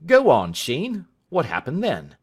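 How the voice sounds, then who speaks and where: Brief: male reader as a fussy, exclaiming schoolmaster, pitched up, lively swings. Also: measured pace, husky, full character voice. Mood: eager. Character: eager excitable adult male schoolmaster.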